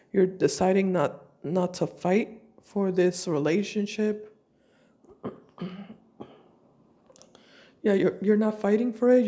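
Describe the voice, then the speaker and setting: A woman reading tarot cards for her viewers while fighting a cold, speaking slowly and deliberately at her table at home. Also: mid-range voice, dark tone. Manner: slow, deliberate, intuitive. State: has a cold. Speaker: tarot reader.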